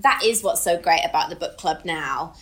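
A British woman with a slightly low-pitched voice chats casually as a podcast co-host. Her delivery is informal and conversational, with a giggly streak. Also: expressive, normal speed, fairly bright tone.

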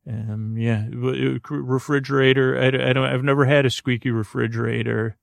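A man with a creaky voice hosting a bedtime podcast, musing aloud in a hesitant, meandering way and circling back on words. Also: mid-range voice, little pitch movement, fairly quick, no room sound. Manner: musing, asks themselves questions. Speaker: podcast host.

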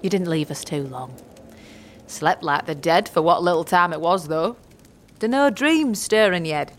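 A woman's voice: fairly low pitch, lively intonation, unhurried, slight background noise. A woman voicing a squat, grey old midwife with a cackling laugh and folksy, old-fashioned speech. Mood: wise. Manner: folksy archaic speech, spits and scolds. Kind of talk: audio drama acting.

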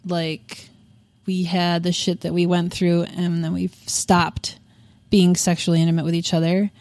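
A woman in her thirties speaks on a podcast in a somewhat low voice, frank and salty, full of "like" and "um". The sound is dry and close, with no room around it. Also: flat delivery, unhurried, darkish tone, dry recording. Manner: frank and profane, with frequent fillers. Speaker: podcast guest. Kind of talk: candid casual interview chat.

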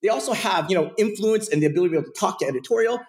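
A man in his thirties from Portland, Oregon, a podcast guest telling his career story in a casual, animated way with plenty of fillers. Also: high-pitched, very fast.

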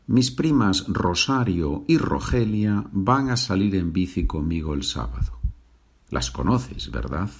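Low-pitched, very animated Spanish teacher, man. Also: breathy edge. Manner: didactic, deliberate, repeats sentences. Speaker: Spanish teacher, host of a listening exercise. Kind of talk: language lesson, reading numbered practice sentences.

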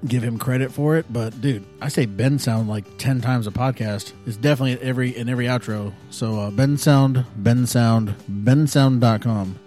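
Relaxed male podcast host at home, rambling with fillers over faint background music. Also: mid-range voice. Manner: laid-back and rambling, with frequent fillers and chuckles. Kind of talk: casual solo podcast chat. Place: at home by an open window.

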